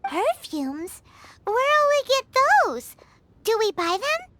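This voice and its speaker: A woman's voice: very high-pitched, takes it slowly, noisy background, full character voice. A woman voicing a small, chirpy, cheeky childlike fairy companion in a video game. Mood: curious. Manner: excitable, refers to self in third person. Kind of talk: video game cutscene voice acting.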